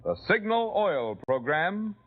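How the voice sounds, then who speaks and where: A man with a higher voice announces the sponsor in a showy 1950s commercial style, his pitch sweeping dramatically. The old broadcast sounds thin and tinny. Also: very animated, slow pace, very noisy. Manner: showy, sweeping salesman delivery.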